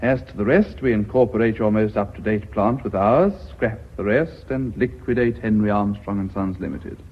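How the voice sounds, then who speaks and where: An actor playing a smug, calculating business aide in British radio drama, precise and smugly polite. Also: male voice, fairly low pitch, moderate pace, natural voice. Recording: slightly dull radio drama recording.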